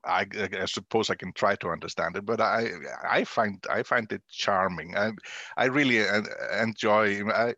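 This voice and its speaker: Low male voice, Icelandic accent, native Icelandic speaker, fifties, halting and repetitive phrasing, conversational, dry close sound. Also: sharp tone, dry recording, muffled recording. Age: 50s. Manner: halting, often repeating words. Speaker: interview guest, university dean. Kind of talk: conversational radio discussion.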